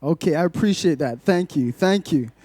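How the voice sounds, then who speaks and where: A man in his twenties addresses a congregation as a testimony speaker and poet. His voice sits a little high yet is relaxed and warm in tone, with very little room sound. He phrases things in a measured, rhythmic way. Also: no room sound. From Glasgow, Scotland, born in Malawi. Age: 20s. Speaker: church guest speaker giving testimony, poet. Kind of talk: rhythmic spoken-word poetry in places.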